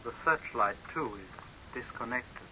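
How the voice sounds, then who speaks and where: Sharp-voiced, coldly mocking young saboteur. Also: male voice, mid-range voice, lively intonation. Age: young adult. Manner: deliberate, measured, sardonic, menacing. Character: cold, mocking young male saboteur, calmly vengeful. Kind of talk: 1930s radio horror drama acting. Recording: hissy, dull 1930s radio recording.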